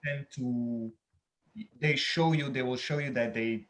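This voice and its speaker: A man answering questions as a podcast guest, speaking hesitantly and pausing to search for words. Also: medium pitch, steady tone, a bit bright. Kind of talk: casual interview.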